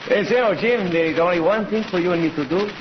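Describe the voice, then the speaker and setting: An actor playing a loyal, excitable cowboy sidekick with a Mexican accent in an old-time radio Western. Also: male voice, high voice, quick pace, noisy background, natural voice. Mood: questioning, eager. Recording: muffled old radio recording.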